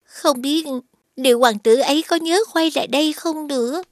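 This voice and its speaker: A woman playing a regal young princess in an animated fairy tale, speaking with poise. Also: high voice, normal speed, no echo, studio quality, lightly acted. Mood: wistful. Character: regal young princess, wistful yet commanding.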